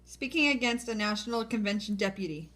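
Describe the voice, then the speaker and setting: A woman's voice: medium pitch, lively intonation, a little fast, over loud music. A woman reading scripted history narration as the narrator of a puppet show.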